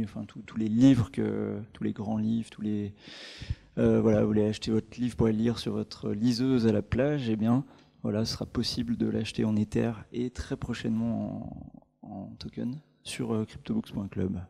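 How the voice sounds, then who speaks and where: A man presenting a book platform at a meetup, speaking haltingly, with many fillers and restarts. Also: on the low side, vocal fry, mellow. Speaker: startup co-founder presenting at a meetup. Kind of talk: conference presentation.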